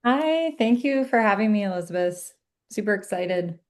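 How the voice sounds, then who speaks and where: A middle-aged woman who is a physician joins a podcast as a guest. Her voice sits at a medium pitch, and she talks in an upbeat, friendly way. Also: animated, normal speed. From United States. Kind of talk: interview conversation.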